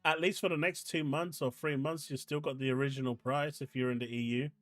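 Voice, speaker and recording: A man's voice: mid-range voice, steady tone, fairly quick, a bit bright, dry recording. A man with an English accent hosting a casual live stream from his desk at home, reading chat and ranting in disbelief. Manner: exasperated, ranting, incredulous.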